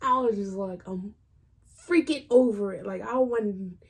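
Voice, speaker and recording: A woman's voice: medium pitch, unhurried, husky. A woman vlogger telling a personal story in casual, colloquial speech, acting out what people said. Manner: informal, colloquial phrasing.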